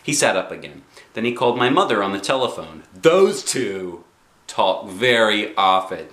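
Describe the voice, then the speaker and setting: A man reading a children's book aloud as an animated storyteller, with expressive, dramatic phrasing. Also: lowish voice, normal speed, echoey room.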